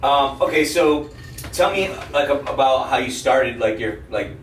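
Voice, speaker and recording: A man's voice: mid-range voice, animated, normal speed, fairly bright tone. A man hosting a podcast, chatting casually as he interviews a guest. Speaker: podcast host. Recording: echoey, distant mic with noise.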